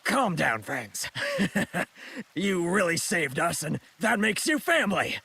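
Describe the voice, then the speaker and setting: A man's voice: sits high, sing-song, takes it slowly, creaky, pushed and bright, husky, full character voice. A cocky swindler boss from a video game. He scrapes and swoops between boasting and wheedling, drawing his words out theatrically. Some noise sits behind the voice. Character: brazen scheming male swindler, cocky gang leader. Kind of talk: theatrical game cutscene voice acting.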